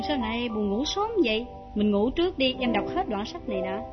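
An actress playing a dignified, long-suffering young wife in a stage melodrama, measured and plaintive, with a southern Vietnamese accent. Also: female voice, a little high, lively intonation, natural voice. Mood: gentle, restrained. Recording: dull lo-fi recording over music.

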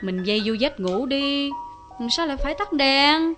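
A gracious young wife with a high, measured voice that stays calm and firm under pressure. Faint music plays behind her. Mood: gentle. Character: dignified young wife, gentle but defiant.

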